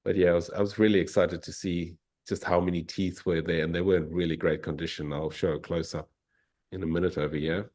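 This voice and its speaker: A man's voice: low voice, level tone, a little fast, darkish tone. A South African man, a fossil hunter, giving an easygoing, enthusiastic slide talk over a video call. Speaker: fossil hunter and guest speaker. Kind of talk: informal slide presentation.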